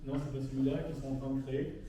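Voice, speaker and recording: Halting man in a very echoey hall. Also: mid-range voice, monotone, mellow. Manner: halting, colloquial. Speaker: guest speaker, magazine founder. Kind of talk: informal spoken conference talk. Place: indoor conference room on a campus. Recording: echoey hall, distant mic, faint noise.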